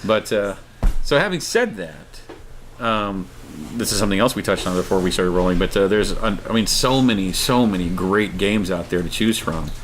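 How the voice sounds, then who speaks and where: A man co-hosts a casual online show with a very lively, varied pitch. His delivery is jokey and unscripted, thinking aloud as he goes. There is faint noise and clicking in the recording. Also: moderate pace.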